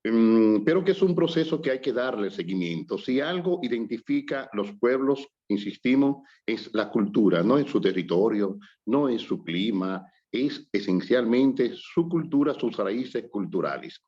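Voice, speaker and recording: Dominican guy talking slow and thoughtful, lots of ehs, kinda muffled audio. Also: medium pitch, animated, mellow. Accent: Dominican Spanish. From Dominican Republic. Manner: reflective, hesitant with fillers and restarts. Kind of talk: casual conversation on culture and language. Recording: slightly dull lo-fi audio.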